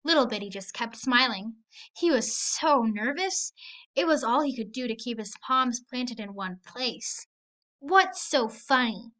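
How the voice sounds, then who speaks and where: A woman narrating a children's story aloud in an expressive read-aloud style. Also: fairly high pitch, unhurried. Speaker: storybook reader.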